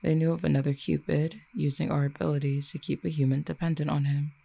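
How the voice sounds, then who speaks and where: Casting: composed, dangerous exiled cupid for audio roleplay performed by an actor, eloquent and formal, dark creaky voice of medium pitch. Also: little pitch movement, no room sound. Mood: calm, unrepentant. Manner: measured, formal, eloquent phrasing. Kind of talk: audio roleplay acting, addressed to listener.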